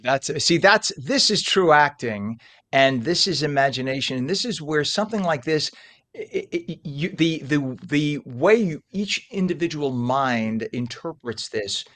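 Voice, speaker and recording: A man's voice: on the high side, lively intonation, unhurried, a bit bright. An older man interviewing in an enthusiastic, conversational way, effusive and emphatic as host. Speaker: interview host, former radio disc jockey.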